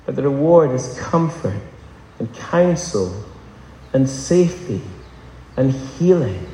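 A man's voice: medium pitch, very animated, slow, dark tone. A man preaching a sermon to a congregation, impassioned, often asking the room rhetorical questions. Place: large church hall. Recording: echoey church hall with long reverb.

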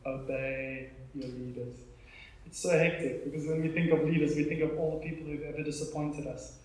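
A man's voice: a little high, steady tone, a little fast, darkish tone. A South African man in his thirties preaching an informal sermon, conversational and emphatic, repeating phrases for effect as he tells personal stories. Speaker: pastor preaching to a congregation. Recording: very echoey room, live sermon.

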